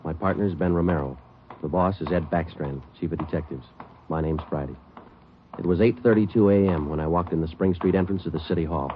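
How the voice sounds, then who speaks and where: Man acting a clipped, deep-voiced deadpan radio detective. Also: a little fast, a little hiss or hum, close to an everyday voice. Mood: deadpan narrating. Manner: clipped, deadpan, matter-of-fact. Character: terse, world-weary male police detective sergeant, narrating his case. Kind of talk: 1940s radio crime drama acting and first-person narration. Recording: muffled old 1940s radio recording, loud music.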